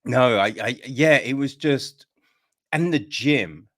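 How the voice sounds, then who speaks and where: A man's voice: mid-range voice, slow pace. A man hosting a podcast interview, telling stories casually and acting out the quoted lines with lots of energy. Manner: animated storyteller acting out quoted dialogue. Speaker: podcast host.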